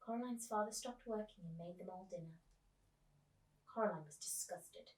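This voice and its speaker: A woman reading a children's story aloud as a teacher, with expressive narration. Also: fairly low pitch, moderate pace. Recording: roomy echo, distant microphone.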